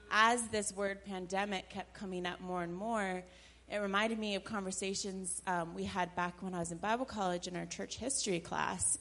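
A woman's voice: medium pitch, gravelly. A woman who leads a church ministry shares an earnest personal reflection with the congregation, with some fillers. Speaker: church member sharing a reflection. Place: church sanctuary.

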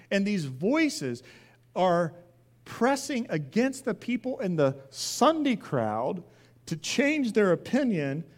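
A man preaching a sermon as a pastor, emphatic, with deliberate pauses, repeated phrases and questions put to his listeners. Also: high voice.

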